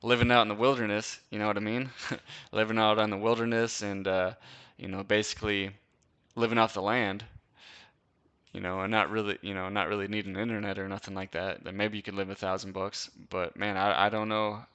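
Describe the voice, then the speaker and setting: A young man from Wisconsin hosts a casual live-stream Q&A, his voice bright and crisp and pitched a little low. He talks loosely and slangily, tossing in "dude" and "bro" and laughing often. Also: little pitch movement, fairly quick. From Wisconsin, USA. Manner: laid-back slangy chat, lots of "dude" and "bro", frequent laughs. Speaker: host.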